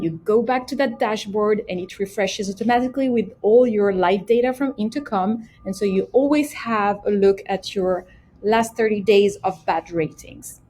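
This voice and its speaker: A woman presenting a casual software tutorial, explaining each step simply and speaking directly to the viewer. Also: medium pitch, slight background noise. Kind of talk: casual screen-share tutorial.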